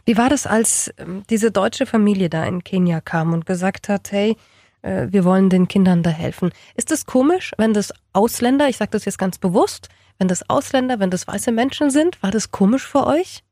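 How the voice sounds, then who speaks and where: A woman's voice: medium pitch, expressive, moderate pace, dark tone, no room sound, clean studio sound. A woman hosting an interview, asking friendly, probing questions and steering the talk. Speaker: host.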